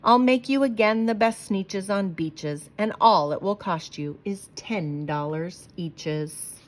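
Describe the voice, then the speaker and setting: A woman's voice: mid-range voice, slow, a little hiss or hum, close to an everyday voice. A woman voicing a slick, persuasive male salesman in a children's storybook read-aloud. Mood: sly. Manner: showy, persuasive sales patter. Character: slick, fast-talking male salesman and fix-it huckster.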